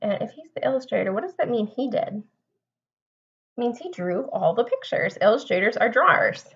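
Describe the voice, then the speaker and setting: Animated, high-voiced woman reading a storybook. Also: normal speed, slightly rough, highs cut off. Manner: expressive, explains and asks child-directed questions. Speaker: teacher reading aloud to young children. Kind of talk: animated storybook read-aloud with questions to listeners.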